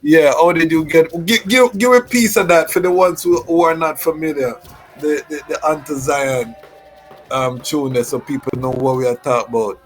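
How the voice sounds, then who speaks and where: A man born in Jamaica and raised in Toronto, interviewing casually in a relaxed way. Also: fairly high pitch, normal speed, over music. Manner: relaxed, repeats "you know what I mean".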